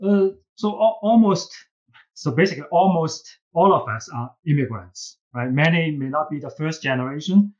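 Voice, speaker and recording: A man from China with a Chinese accent, answering interview questions earnestly and haltingly, repeating words and checking his points with a questioning tag. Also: a little high, animated, a little mellow, highs cut off. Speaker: business school professor and case writer, podcast guest.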